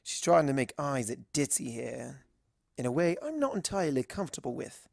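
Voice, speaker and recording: A man's voice: medium pitch, sing-song, fairly quick, gravelly, darkish tone, dry recording. A man acts out an eccentric male pony doctor for a storybook tale. His delivery is theatrical and earnest, swinging expressively up and down, recorded dry and close. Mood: awkward, subdued. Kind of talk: storybook character dialogue.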